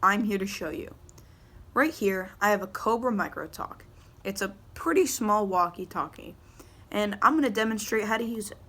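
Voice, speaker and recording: A woman's voice: medium pitch, expressive, normal speed, a bit bright. A woman student presenter giving a casual, unscripted hands-on demonstration, explaining things informally step by step. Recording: clear background noise.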